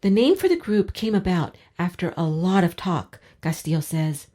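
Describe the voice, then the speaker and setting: Mellow woman reporter with lively intonation. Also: fairly low pitch, normal speed. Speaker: radio news reporter. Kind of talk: scripted news narration.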